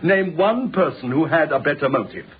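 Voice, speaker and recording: A man's voice: a little high, animated, moderate pace, lightly acted. An actor playing an agitated novelist who insists he is guilty, in a British radio drama, speaking haughtily and emphatically with a British accent. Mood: indignant, insistent. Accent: British English. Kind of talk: old-fashioned British radio drama acting. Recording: lo-fi, phone-like radio recording with hiss.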